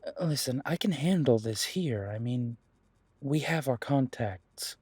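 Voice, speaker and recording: Man acting a gentle, protective werewolf detective, close and dry. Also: medium pitch, expressive, unhurried, slightly rough, no echo. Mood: worried, reassuring. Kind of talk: intimate roleplay audio drama.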